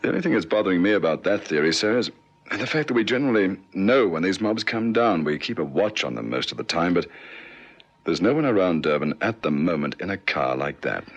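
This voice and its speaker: A man's voice: low voice, animated, a little fast, highs cut off. An actor playing an earnest, dogged police sergeant reporting respectfully to his superior in 1960s radio drama style. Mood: earnest, explanatory. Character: earnest, deferential male police detective sergeant, frustrated, dogged.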